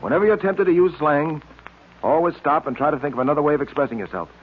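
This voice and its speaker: A man's voice: a little high, fast talker, natural voice. A man playing an upright, earnest police detective in old radio drama style, speaking in a firm, clipped, fatherly way. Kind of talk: 1940s radio acting. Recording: tinny noisy old radio recording.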